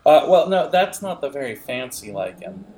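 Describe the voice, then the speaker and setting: A man improvising narration as game master in a halting, digressive manner, with music behind the voice. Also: medium pitch, sing-song, warm tone.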